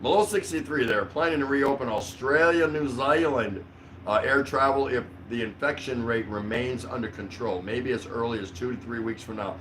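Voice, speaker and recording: A man's voice: medium pitch, normal speed, a bit bright, a little hiss or hum, poor audio. An older man hosting a casual livestream, rambling along in a jokey, mildly profane way. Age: older, around 60. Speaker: livestream host. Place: small curtained room indoors.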